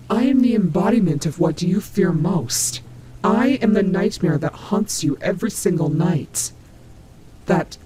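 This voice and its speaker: A woman's voice: lowish voice, noisy background. A woman voicing a menacing, taunting nightmare animatronic rabbit in a scripted audio roleplay, with a mocking, theatrical delivery. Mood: flustered, incredulous. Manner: slow, mocking, theatrical drawl with whispered asides.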